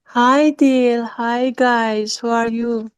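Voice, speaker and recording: A woman's voice: a little high, flat delivery, very slow, clear, resonant tone. A woman in her twenties from Iran, speaking hesitant English with an Iranian accent as she presents her work as a guest, mostly from a prepared text. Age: 20s. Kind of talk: reading a prepared text aloud.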